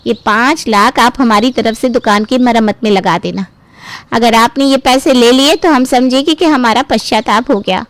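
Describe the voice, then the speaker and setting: A woman plays a greedy young sister-in-law in a cartoon family drama. Her voice is fairly high and very dry in sound, wheedling and smug, always working an angle. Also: moderate pace, dry recording, close to an everyday voice. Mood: ingratiating.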